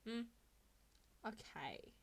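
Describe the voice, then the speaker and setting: A woman voicing a blunt, serious teenage schoolboy in a story reading, with flat deadpan delivery. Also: extremely slow. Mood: deadpan suspicion.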